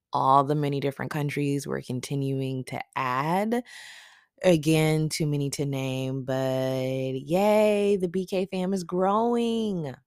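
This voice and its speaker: A podcast host with a raspy morning voice, chatting casually and affectionately to listeners about faith. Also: mid-range voice, dry recording, clean studio sound.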